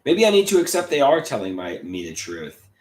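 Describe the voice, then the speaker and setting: A man hosting a livestream, reading chat messages aloud and answering them, his voice a bit congested, with halting, stammering restarts that trail off. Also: medium pitch, wildly swooping pitch, reverberant. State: slightly congested voice.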